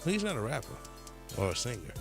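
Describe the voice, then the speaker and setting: A man's voice: medium pitch, wildly swooping pitch, fairly quick, creaky, over music. A man co-hosting a casual podcast chat, laid-back and slangy, his voice still a little nasal from a cold. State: slightly nasal after a cold. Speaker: podcast co-host.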